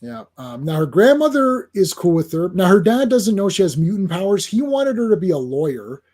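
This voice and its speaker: High-voiced chatty man hosting a stream. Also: very animated, fairly quick, darkish tone. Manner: chatty, greets chat viewers by name. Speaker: host of a comics livestream. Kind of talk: casual livestream comic review.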